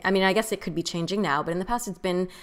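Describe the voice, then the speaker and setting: Woman host, very low pitch, smooth resonant tone, attentive interviewer. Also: racing. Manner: attentive, thoughtful, lots of affirming hums. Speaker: podcast host. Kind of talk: interview questions.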